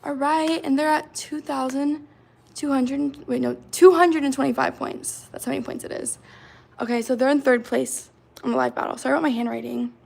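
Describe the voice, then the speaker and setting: A woman in her twenties with a raspy, slightly hoarse voice, chatting casually on a livestream, filling her talk with "like". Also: high voice, fairly even intonation, normal speed, darkish tone. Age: 20s. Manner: chatty, lots of fillers like "like".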